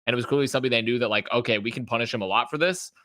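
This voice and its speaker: Bright-voiced man analysing matches. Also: medium pitch, rapid-fire, no echo, studio quality. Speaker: podcast co-host and esports analyst.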